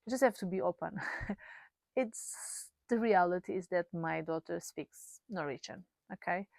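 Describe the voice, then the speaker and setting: Portuguese mom vlogging in english, lots of ums and restarts, kinda gravelly, dry sound. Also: female voice, lowish voice, measured pace, darkish tone, dry recording. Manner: hesitant, many fillers and restarts. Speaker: vlogger, mother. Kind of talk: candid personal vlog.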